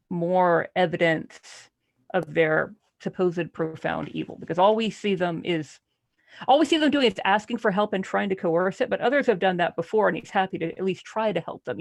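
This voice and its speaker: A woman's voice: fairly low pitch, vocal fry, dry recording. A woman in a casual book club discussion, speaking analytically and posing a lot of questions as a panelist.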